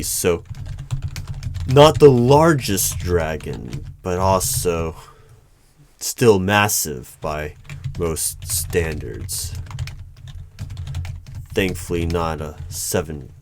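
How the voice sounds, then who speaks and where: A man playing a formal, easily flustered hiring manager in an ASMR roleplay, speaking in measured, halting phrases. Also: deep voice, expressive, a little hiss or hum, full character voice. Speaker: performer of a one-sided roleplay. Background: computer keyboard typing.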